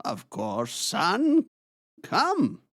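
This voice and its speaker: A man playing a grave, theatrical old wizard in a fantasy drama, speaking in deliberate pronouncements. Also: sits high, huge pitch swings, a bit bright, no room sound, studio quality, heavily acted. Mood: gentle, solemn. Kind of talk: fantasy audio drama acting.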